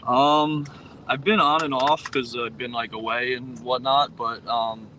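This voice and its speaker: Bright-voiced hesitant young man. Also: medium pitch, fairly even intonation, a little slow, slight background noise, rough recording. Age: 20s. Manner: hesitant, many ums and you knows. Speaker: guest, pro roller hockey player. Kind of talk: casual interview chat.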